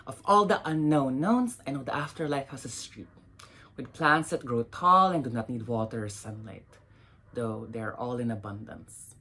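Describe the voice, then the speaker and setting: Low-voiced woman reading poetry, slightly husky. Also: a bit bright. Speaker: poet reading own poems.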